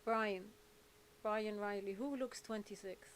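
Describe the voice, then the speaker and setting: A woman's voice: medium pitch, fairly even intonation, slow pace, a little hiss or hum. A woman born in England and raised in Saudi Arabia, hosting a casual livestream chat in a rambling, blunt, candid way. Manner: rambling, candid, blunt, jumping between topics. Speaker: livestream host and activist.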